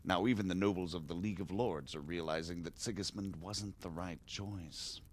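An actor playing a shrewd, scheming middle-aged male margrave in a video game cutscene, smooth and persuasive. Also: low voice, moderate pace. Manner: smooth, persuasive, flares up when pressed.

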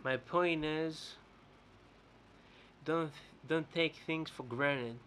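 A young man from Greece with a Greek accent, rambling in a casual vlog rant to his webcam in a bright indoor room, often repeating and restarting words. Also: a little high, drawn-out words, a little hiss or hum. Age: young adult. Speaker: vlogger. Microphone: webcam close up.

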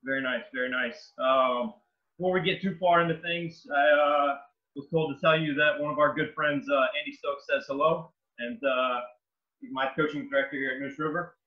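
A man in his thirties hosting an interview series over a video call, friendly and affirming with halting, repeated phrasing. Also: medium pitch, fairly even intonation. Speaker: interview host. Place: indoor room. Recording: dull lo-fi webcam audio.

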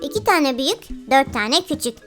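Very high-pitched, playful child-like kids' game host. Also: sing-song, normal speed, fairly bright tone. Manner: exclamatory, cooing baby talk to the animals. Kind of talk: playful children's gameplay commentary. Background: children's music.

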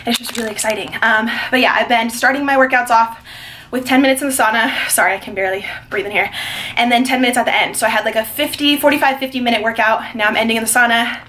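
A woman's voice: fairly high pitch, steady tone, quick pace, sharp tone. A young American woman chatting casually to her vlog camera at arm's length, effusive and slangy. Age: young adult. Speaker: vlogger. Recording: faint background noise.

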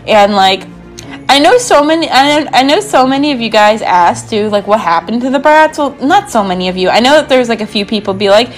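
A woman's voice: on the high side, lively intonation, brisk. A young woman gaming creator doing a casual, bubbly, rambling voiceover with a slightly sore throat. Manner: bubbly, rambling, frequent "like" and asides. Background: background music.

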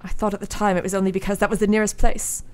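A woman's voice: mid-range voice, flat delivery, racing, natural voice. A woman playing a firm, businesslike asylum doctor in a radio play. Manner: clinical, businesslike.

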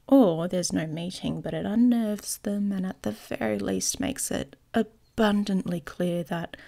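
A woman playing a smug, playful young werewolf pack leader in an intimate ASMR romance roleplay, teasing and often slipping into a breathy whisper. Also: fairly low pitch, mellow, full character voice. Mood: playful, scheming.

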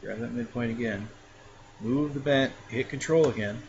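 A man casually talking through a software tutorial step by step, with frequent fillers. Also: medium pitch, normal speed. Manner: relaxed, talks through each step with fillers. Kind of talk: casual screen-recorded tutorial. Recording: dull lo-fi mic with clear background noise.

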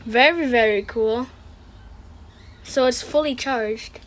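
A woman's voice: on the high side, takes it slowly. A woman hosting a casual unboxing, making short, repetitive remarks as she handles items. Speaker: host of an unboxing video. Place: indoors, room with wooden floor. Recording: clicks and handling noise.

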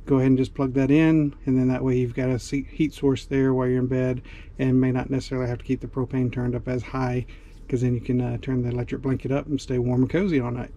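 Southern middle-aged man, mellow and even-toned, casual how-to vlog, faint outdoor hiss. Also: medium pitch, quick pace, dull audio. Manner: easygoing, plain-spoken, with frequent uh fillers. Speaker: RV vlogger. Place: outdoors at a snowy campground and inside an RV.

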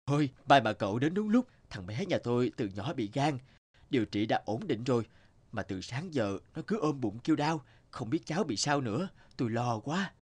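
A man's voice: medium pitch, big pitch swings, normal speed, breathy edge. A man voicing an anxious, flustered village father in a folk-tale cartoon, pleading in a worried way. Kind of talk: animated folk tale dubbing. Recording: music under the voice.

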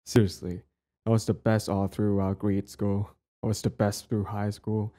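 A man plays a young male bully in an intimate audio roleplay. His voice sits fairly low and is very soft-edged and mellow. He is cocky and possessive, yet clingy and smitten with the one he talks to. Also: little pitch movement, normal speed, natural voice. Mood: cocky, amused. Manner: stammering, swaggering, profane. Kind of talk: audio roleplay acting, addressed to the listener.

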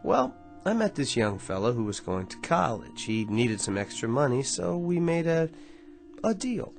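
An actor playing a smug, smooth-talking self-made man in a radio drama, confident and insistent. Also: mid-range voice, big pitch swings, moderate pace. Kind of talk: vintage radio drama acting. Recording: old radio drama with faint music.

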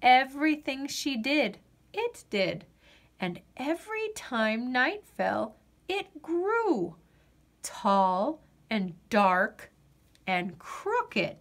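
A woman reading a children's picture book aloud, dramatic and deliberate, with drawn-out pauses. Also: sits high, sing-song. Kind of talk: expressive children's storybook narration.

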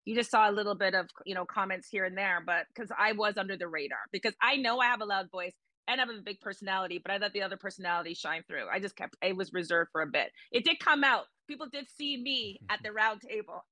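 Bright-voiced woman, rambling guest. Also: medium pitch, fast, hardly any pauses, no room sound. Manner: hesitant, rambling with restarts. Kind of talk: casual chat. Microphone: webcam on video call.

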